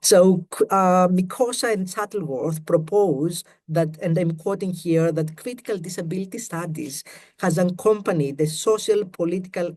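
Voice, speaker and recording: Brief: Greek-accented male academic, mellow voice, measured pace, reading a prepared talk over a video call. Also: high-pitched. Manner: careful, measured reading of prepared text with quotes. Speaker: academic panellist. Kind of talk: academic webinar presentation.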